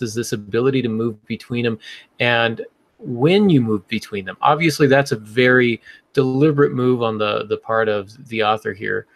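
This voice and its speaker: A man's voice: mid-range voice, a bit bright, no echo. Man explaining on a podcast. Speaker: podcast host and writing teacher. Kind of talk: casual podcast discussion.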